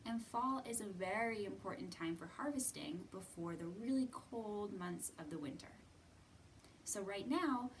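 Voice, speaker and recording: An American woman in her thirties leading a children's storytime lesson in simple, encouraging, instructional phrases. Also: mid-range voice. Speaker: children's librarian and storytime host. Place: library room, indoors. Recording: distant mic with background hiss.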